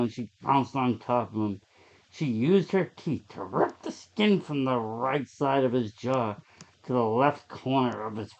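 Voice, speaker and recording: A narrator reads a horror short story from a script, in a voice of middling pitch that sits between typical male and female ranges. The recording is a little dull and lo-fi.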